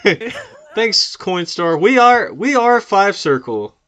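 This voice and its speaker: A man with a fairly high voice hosts a casual sports podcast. He talks in a loose, joking way and often breaks into laughter between lines. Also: very animated, takes it slowly, a bit bright. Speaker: podcast host.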